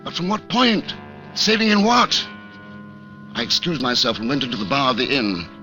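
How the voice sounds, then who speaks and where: Tense radio thriller hero, music behind. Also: male voice, mid-range voice, very animated, normal speed, pushed and bright. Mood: tense revelation. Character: hunted hero narrating his tense spy adventure. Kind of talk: 1940s radio acting, dramatic first-person narration.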